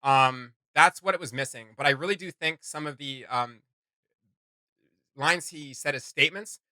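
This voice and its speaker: A man's voice: fairly high pitch, moderate pace, sharp tone, dry recording. A man hosting a reaction video, giving casual, emphatic and opinionated commentary.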